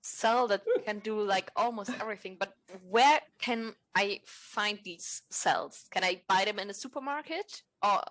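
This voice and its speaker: A woman's voice: medium pitch, measured pace, few pauses, a bit bright, rough recording. A woman hosts a casual podcast in an upbeat, cheerful way. She introduces games and asks the guests questions. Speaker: podcast host.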